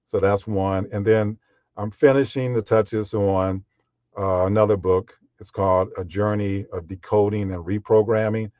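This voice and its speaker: A man with a deep voice, a guest voiceover artist speaking in a measured, unhurried way with frequent fillers in a podcast interview. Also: fairly even intonation, darkish tone, no echo, highs cut off.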